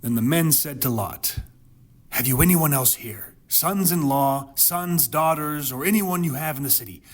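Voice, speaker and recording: A man hosting a Bible podcast, delivering dramatic scripted commentary and scripture reading with emphatic repetition, rhetorical questions and deliberate pauses. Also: fairly high pitch. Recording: music playing under the voice.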